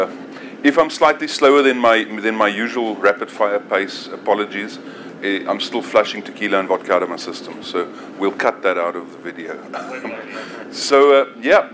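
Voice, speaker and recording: A man's voice: lowish voice, fairly bright tone, a little hiss or hum. A South African man in his forties giving a conference presentation, joking and self-deprecating, with frequent restarts. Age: 40s. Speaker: conference speaker. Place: conference session room.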